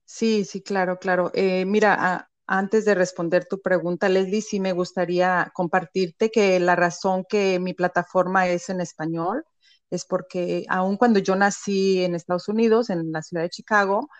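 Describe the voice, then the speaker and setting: Woman, Mexican accent, low-pitched, dry close sound, reflective, interview guest. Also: steady tone, moderate pace, no room sound, lo-fi sound. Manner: reflective, with frequent "eh" and "¿no?" tags. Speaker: business founder, guest. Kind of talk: conversational interview.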